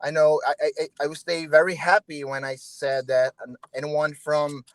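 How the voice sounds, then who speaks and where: A man from Brazil with a Brazilian Portuguese accent, a native Portuguese speaker practicing English in a casual chat, often pausing and restarting to find his words on a video call. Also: on the high side, level tone, no echo. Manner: halting, searching for words with restarts. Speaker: English learner.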